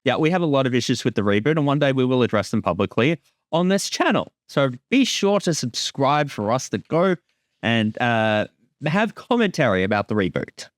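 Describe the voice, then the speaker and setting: Animated man giving casual commentary. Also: medium pitch, normal speed, no echo, studio quality. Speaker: creator giving episode commentary.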